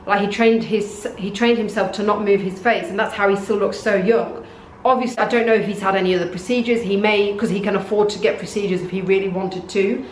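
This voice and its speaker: A young woman from North Africa talks through her opinions in a relaxed, casual monologue. Her delivery stays flat and monotone, in a voice she describes as deep. The room rings with echo, and a little noise sits underneath. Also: fairly quick. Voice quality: says she has a deep voice. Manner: says her voice and face are monotone. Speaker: vlogger. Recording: echoey room.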